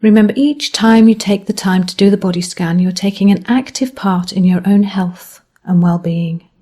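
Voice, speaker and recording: Mellow, slightly husky woman guiding meditation. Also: mid-range voice, steady tone. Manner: gentle, measured, with soft phrase endings. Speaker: mindfulness meditation teacher. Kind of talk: guided body scan meditation.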